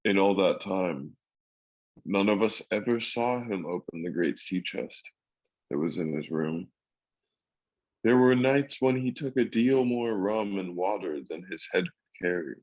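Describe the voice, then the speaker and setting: A man's voice: deep voice, lively intonation, unhurried, darkish tone, muffled recording. A man giving scripted storybook narration as the narrator of a radio drama.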